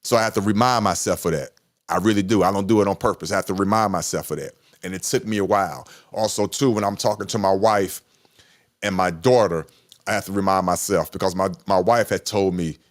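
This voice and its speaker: A middle-aged man with a deep voice hosting a podcast, talking casually with passion and emphatic repetition. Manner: passionate, emphatic, repeats himself. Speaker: podcast host. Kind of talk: casual monologue.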